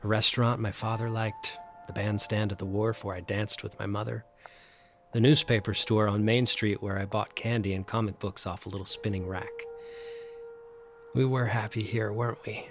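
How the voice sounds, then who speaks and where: Audio drama lead: male actor as wistful, troubled middle-aged man, halting and reflective, music beneath. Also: lowish voice, little pitch movement, close to an everyday voice. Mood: wistful, grieving. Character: troubled, wistful grieving man returning to childhood haunt. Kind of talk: audio drama acting with first-person narration.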